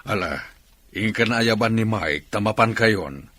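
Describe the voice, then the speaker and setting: A man's voice: fairly low pitch, expressive, measured pace, fairly bright tone, no echo. A man playing a considerate, concerned boss in a radio drama, speaking earnestly and with emphasis. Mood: sympathetic.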